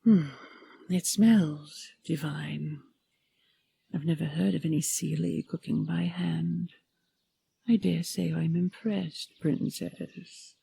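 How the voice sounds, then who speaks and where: Regal dragon queen played by a woman, fantasy audio drama. Gravelly, unhurried, softly commanding, formal and secretive. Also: low-pitched, fairly even intonation, heavily acted. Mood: tender, teasing. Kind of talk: audio roleplay acting.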